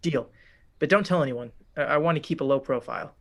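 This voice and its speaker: A man's voice: on the high side, fairly quick, voice pushed up. A man voicing a nervous, earnest young man who stammers.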